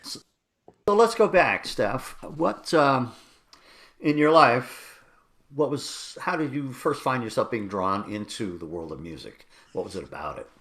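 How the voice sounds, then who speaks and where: A man hosting an interview, with a mid-pitched voice. He asks his questions gently, pausing and restarting as he goes. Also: animated.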